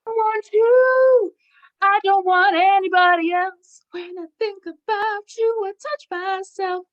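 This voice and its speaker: Drunk woman wailing karaoke, extremely high, slow and off-key, dull lo-fi sound. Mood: drunk, lustful. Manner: screechy, drawn-out, drunken. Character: very tipsy woman screeching brash off-key karaoke.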